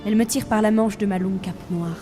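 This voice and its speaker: A woman's voice: mid-range voice, steady tone, quick pace, lightly acted. A woman playing Death as a weary reaper burdened by the role, in a grave, theatrical audio drama monologue. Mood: brooding, torn. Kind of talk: narrated audio fiction, dramatic first-person monologue. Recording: music behind the voice.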